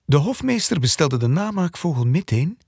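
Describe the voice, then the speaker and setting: A man's voice: a little high, sing-song, moderate pace, vocal fry, background noise. A man narrating a fairy tale for children as a wry storybook narrator in an audio drama. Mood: wry storytelling.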